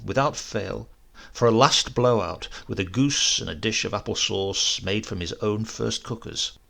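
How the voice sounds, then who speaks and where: A man reading a literary short story as an audiobook narrator, in a measured, expressive way. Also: medium pitch, vocal fry, breathy edge.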